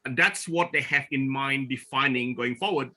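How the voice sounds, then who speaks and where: A man from Borneo presenting at a forum over a video call, speaking haltingly with many restarts and fillers. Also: mid-range voice, bright, forceful tone. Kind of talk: online presentation talk.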